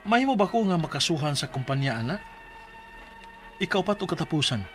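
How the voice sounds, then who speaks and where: Distressed young male worker, Cebuano accent, fairly high voice, earnest radio drama pleading over music. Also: a bit bright. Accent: Cebuano Filipino. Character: distressed young male employee accused of stealing a laptop. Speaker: letter sender in a radio drama. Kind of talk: Bisaya radio drama acting and letter narration.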